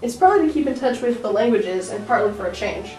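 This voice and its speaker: Young woman, student actor, earnest stagey reading, slightly high voice, echoey distant room. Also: lively intonation, fast talker, a little mellow, soft background music. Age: young adult. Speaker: student playing Gabriel Conroy. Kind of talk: amateur student drama. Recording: echoey room from far off, background noise.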